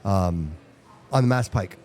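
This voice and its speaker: A man's voice: deep voice, unhurried, a little mellow. A man whose first language is Portuguese, hosting a casual live podcast chat. He talks emphatically, repeats himself and calls people dude and bro. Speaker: podcast host. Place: live show at a brewery venue.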